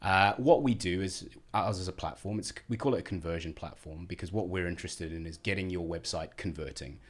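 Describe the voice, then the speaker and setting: A man with a South African accent, a guest on a business podcast, explaining his work in a relaxed, easygoing way and laughing easily. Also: deep voice, brisk. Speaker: podcast guest, head of marketing. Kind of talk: casual business podcast chat.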